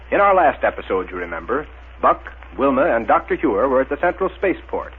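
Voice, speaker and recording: A man's voice: a little high, breathy edge. A man announcing in emphatic, showmanlike 1930s radio style, reading scripted narration and sponsor copy. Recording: thin, phone-like old radio recording.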